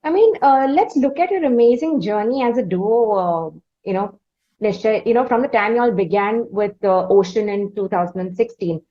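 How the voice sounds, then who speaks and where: A woman with an Indian accent hosting an interview, building up wordy, halting questions in a conversational way. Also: mid-range voice. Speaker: interviewer, host. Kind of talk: conversational long-form interviewing. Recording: slightly dull, lo-fi audio.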